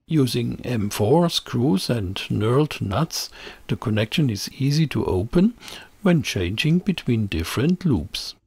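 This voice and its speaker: A middle-aged man reading a scripted technical tutorial in a measured, explanatory way into a close microphone. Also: mid-range voice, vocal fry, a little mellow, husky, dry recording. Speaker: presenter of an electronics tutorial channel. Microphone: close voice-over microphone.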